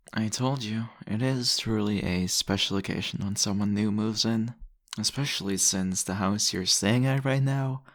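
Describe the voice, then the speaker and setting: A man's voice: fairly low pitch, lightly acted. A man playing a charming, teasing vampire host in an intimate, conversational roleplay style. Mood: playful, chatty. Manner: flirtatious, suave, conversational. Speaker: audio roleplay voice actor. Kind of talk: intimate ASMR roleplay acting. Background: background music.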